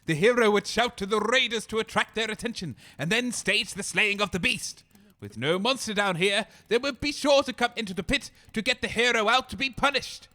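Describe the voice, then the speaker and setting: Vain, grumbling would-be artiste played by a man, high and sharp, blustering and stammering through comedy dialogue. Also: a little fast. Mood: eager scheming. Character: vain, grumbling male radio broadcaster and self-styled artiste. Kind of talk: comedic audio drama acting.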